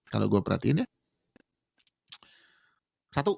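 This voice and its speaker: A man's voice: fairly low pitch, sing-song, unhurried, creaky, a little mellow, breathy edge, no echo, clean studio sound. A man, explaining at length in casual podcast chat, slangy and mixing in English words. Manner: explains with examples, slangy Jakarta register, mixes in English words. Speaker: guest.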